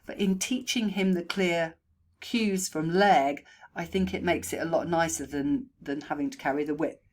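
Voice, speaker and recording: A woman horse trainer and behaviourist, hoarse from a chest cold, talking through riding feedback in a recorded voice-over on a new microphone, chatty and full of ums and restarts. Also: low-pitched, moderate pace. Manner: chatty, thinking aloud with many ums and restarts. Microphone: new home microphone.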